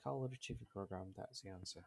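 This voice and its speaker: A man hosting an explainer quiz video with halting, repetitive phrasing and a husky, creaky voice. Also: low voice, noisy background. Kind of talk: explainer narration, quiz question and answer.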